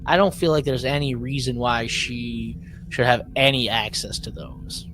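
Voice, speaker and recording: A man co-hosting a podcast in a casual recap style, haltingly repeating and rewording his phrases. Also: mid-range voice, fairly even intonation, fairly bright tone, a little hiss or hum. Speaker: podcast co-host.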